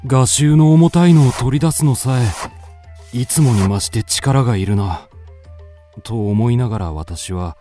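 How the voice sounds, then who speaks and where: A man's voice: mid-range voice, unhurried, mellow, faint music behind, lightly acted. An actor playing a moody, melancholic young man with restless fancies, narrating a literary radio drama in the first person. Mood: strained, frustrated, then gloomy, weary.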